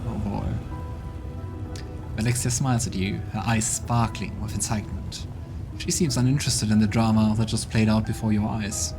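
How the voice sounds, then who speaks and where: A man's voice: lowish voice, steady tone, normal speed. A man hosting a let's play, reading game text aloud and dropping wry, dry comments. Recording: background game music.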